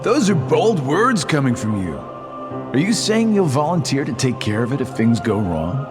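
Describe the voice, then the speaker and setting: An actor playing a smug, teasing villain in a video game, with a mocking, casual drawl. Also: male voice, mid-range voice, very animated, moderate pace, over music, natural voice. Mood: wry, teasing. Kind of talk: video game cutscene acting.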